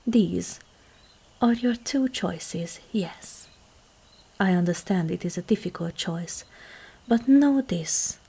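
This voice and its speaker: A woman playing a regal, seductive ancient demon queen in an ASMR roleplay, measured and commanding, with a husky, breathy, creaky voice that often softens toward a whisper. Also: lowish voice, lively intonation, exaggerated voice. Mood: solemn, persuasive. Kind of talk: ASMR roleplay, scripted monologue to the listener.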